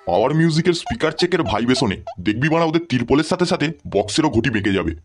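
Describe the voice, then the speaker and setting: A man's voice: mid-range voice, lively intonation, very fast, a little hiss or hum, close to an everyday voice. A man voicing brash, foul-mouthed village men in a comedy cartoon. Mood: boastful. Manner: crude, slangy, combative. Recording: faint music behind the voice.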